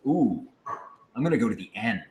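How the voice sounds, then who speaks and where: Animated man chatting in an echoey room. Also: lowish voice, fairly quick. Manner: animated, playful, exclamatory. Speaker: voice actor answering fan questions. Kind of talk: casual livestream chat. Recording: roomy, faint background noise.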